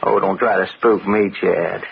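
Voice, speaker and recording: A man's voice: deep voice, steady tone, a little slow, creaky, exaggerated voice. An actor playing a knowing man who confronts a villain in an old radio drama. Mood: accusing, taunting. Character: knowing man confronting a murderous villain, taunting then gentle. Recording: muffled, noisy old radio broadcast recording.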